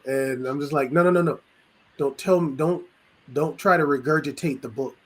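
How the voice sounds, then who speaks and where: A man's voice: fairly high pitch, moderate pace, fairly bright tone. A man co-hosting a podcast, a teacher, talking animatedly and laughing as he tells stories. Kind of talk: casual podcast chat.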